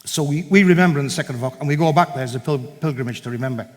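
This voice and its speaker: A man's voice: a little high, very fast. A man giving a conversational, storytelling talk to a church congregation, with many digressions and quoted exchanges. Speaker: guest speaker at a church meeting. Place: large church hall. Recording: long reverb of a large hall.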